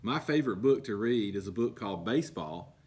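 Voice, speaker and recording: A middle-aged man reading a picture book aloud to children as a coach, explaining in a deliberate, emphatic, instructive way. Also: on the low side, animated, very noisy. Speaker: baseball coach and PE teacher. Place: indoor school room with book shelves.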